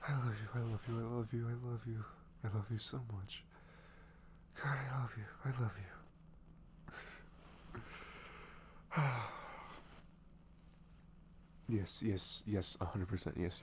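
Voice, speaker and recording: Low, gravelly, nervous lovestruck guy acted by a man. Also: steady tone, noisy background, close to an everyday voice. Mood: overjoyed, tender. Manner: halting, stammering, sighing, breathy laughs, often dropping to whispers. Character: nervous, dorky male best friend confessing his love, tender and goofy. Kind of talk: roleplay ASMR audio drama, intimate and conversational.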